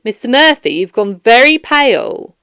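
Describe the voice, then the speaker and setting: A woman's voice: a little high, very animated, a bit bright, clear, resonant tone, no room sound, clean studio sound. A woman voicing a firm, formal police detective questioning a suspect in a children's audiobook. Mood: insistent. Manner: formal, measured, stern. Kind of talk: children's audiobook character dialogue.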